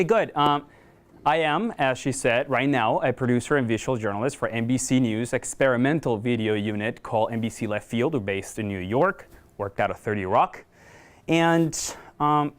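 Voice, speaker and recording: A man's voice: mid-range voice, clean tone. A man from Venezuela, giving a conversational, self-deprecating conference talk in a lecture room, with frequent fillers. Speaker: guest speaker, video journalist.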